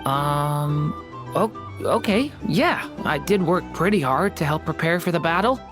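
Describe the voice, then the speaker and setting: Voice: medium pitch, lively intonation, a little slow, music in the background, natural voice. An actor voicing an earnest, modest, socially awkward young man in a video game, hesitant and polite. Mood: bashful.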